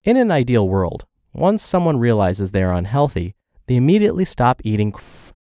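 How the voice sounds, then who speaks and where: A man's voice: medium pitch, big pitch swings, moderate pace, dark tone, dry recording, clean studio sound. A man hosting a podcast, reading health and fitness blog posts aloud while congested and scratchy from the flu. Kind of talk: read-aloud narration.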